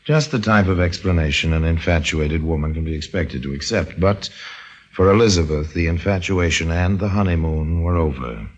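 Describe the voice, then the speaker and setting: An actor as a wry, knowing narrator telling a mystery story on old-time radio. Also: male voice, low voice, moderate pace. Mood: sardonic. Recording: muffled old radio recording.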